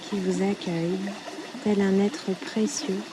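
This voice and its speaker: A woman leading a guided yoga nidra meditation in a soothing, unhurried way, with short phrases and long pauses. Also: medium pitch, level tone, background noise. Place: outdoors at a riverbank. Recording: outdoor recording with loud running river water. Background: flowing, trickling stream water.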